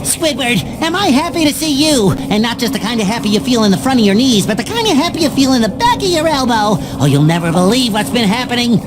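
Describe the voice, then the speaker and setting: A man's voice: very high voice, very animated, quick pace, few pauses, loud music behind the voice. A man voicing a cheerful, naive young cartoon sea sponge in a video game, earnest and childlike. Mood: giddy.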